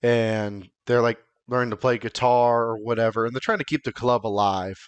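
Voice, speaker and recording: Male podcast co-host, smooth clear voice, dry close sound, casual and halting, thinking out loud. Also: mid-range voice, fairly even intonation, no room sound. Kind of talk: casual podcast chat among friends.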